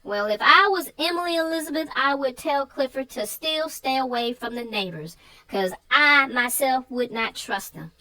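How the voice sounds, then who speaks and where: High, bright, very animated woman. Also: moderate pace, poor audio. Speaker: co-host of children's read-aloud show. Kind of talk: chatty story discussion for young viewers.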